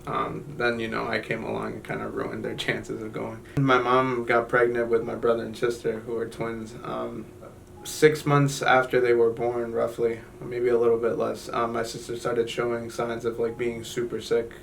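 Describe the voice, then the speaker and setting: A young man from Guatemala telling his family's story in an interview, halting, with many fillers and restarts. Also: mid-range voice, monotone, gravelly, recorded from a distance, slight background noise, low-quality recording. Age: young adult.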